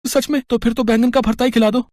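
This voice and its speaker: Actor as excitable poor village lad. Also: mid-range voice, fast talker, noisy background, lightly acted. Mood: bewildered. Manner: stammering when flustered. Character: poor, hungry, easily startled young male villager. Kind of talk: animated moral story dialogue.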